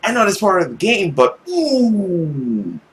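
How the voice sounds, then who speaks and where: A young man reading a visual novel aloud as a gaming host, expressive and theatrical, recorded at home. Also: high-pitched, slow pace, slight background noise. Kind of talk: dramatic read-aloud narration with reactions.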